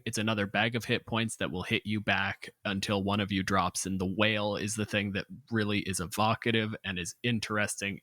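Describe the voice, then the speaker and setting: Bright-voiced man running a game, close and dry. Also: on the low side, dry recording. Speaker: game master on a show. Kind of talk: casual game talk and improvised narration.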